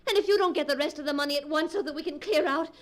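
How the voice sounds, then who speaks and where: An actress plays a restless woman chafing at being kept in hiding, from an old-time radio drama. Her high voice turns sharp and petulant. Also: very fast, natural voice. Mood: defiant.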